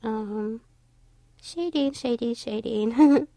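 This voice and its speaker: A woman's voice: on the high side, drawn-out words, warm tone, no echo. A young woman with a tired, stuffy voice, casually narrating her drawing with lots of fillers and restarts. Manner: rambling, many fillers and restarts. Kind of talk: casual voice-over commentary.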